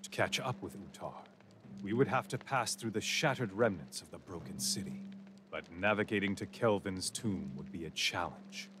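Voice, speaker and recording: A man giving grave, measured scripted narration for a fantasy game. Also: sits low, noisy background.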